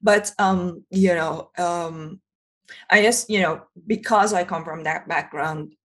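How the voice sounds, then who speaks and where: A woman from Iran, in her thirties or forties, interviewing conversationally with halting phrasing on a video call. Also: fairly low pitch, fairly bright tone. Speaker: interviewer, host. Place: indoors in a home office.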